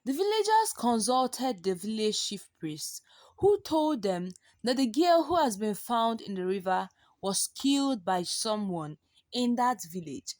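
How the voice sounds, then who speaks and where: Female storyteller, higher-pitched, very lively intonation, dramatic folktale delivery. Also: no echo. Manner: expressive, with gasps and drawn-out repeated words for effect.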